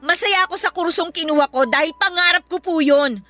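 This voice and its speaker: A woman's voice: very high voice, a little fast, pushed and bright, soft background music. An actress playing a dutiful, hurt young Filipino daughter who pleads respectfully with her mother in a radio drama. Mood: hurt, frustrated. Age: young adult. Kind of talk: emotional radio drama acting.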